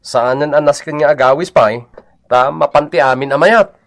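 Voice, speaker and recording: A man's voice: on the high side, normal speed, close to an everyday voice. An actor playing a stubborn, matter-of-fact young man with an insistent, questioning manner in a comedic radio drama.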